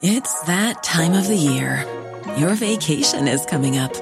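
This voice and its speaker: Low-voiced woman reading an ad. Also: expressive, a little slow, gravelly, music in the background. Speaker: ad voice-over. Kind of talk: scripted ad read.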